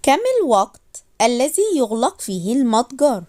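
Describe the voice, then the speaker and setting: High, lively woman teaching. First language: Arabic. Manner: repeats phrases slowly, then translates briskly. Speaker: language teacher. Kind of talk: slow phrase drilling for learners.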